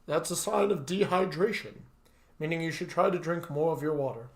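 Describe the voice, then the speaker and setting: A man voicing a devoted butler in an audio story, speaking in a formal, courteous and measured way. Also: on the high side, gravelly, voice pushed up. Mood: caring, polite. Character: devoted, formal male butler, calm and dutiful.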